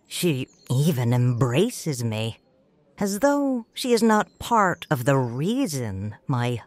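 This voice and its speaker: Low-voiced woman narrating slowly. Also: dry recording. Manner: slow, grave and tender. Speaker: audiobook narrator. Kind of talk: first-person audiobook narration.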